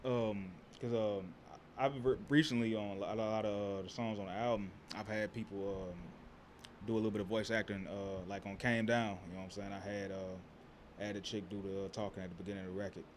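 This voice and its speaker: A man with a low, bassy voice talks in an easygoing, slangy way, chatting casually as an interview guest. He is a rapper from Texas. A faint hiss sits under the recording. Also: fairly even intonation, fairly quick. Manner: laid-back, slangy, keeps saying "you know what I'm saying".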